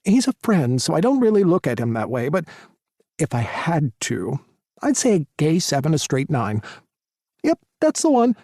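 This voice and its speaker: Sassy campy young vet guy, voiced by a man, high lively voice, mellow tone, dry audiobook sound. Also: moderate pace, no room sound, heavily acted. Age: 20s. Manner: theatrical, camp, mock phone call. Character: sassy flamboyant young male veterinarian.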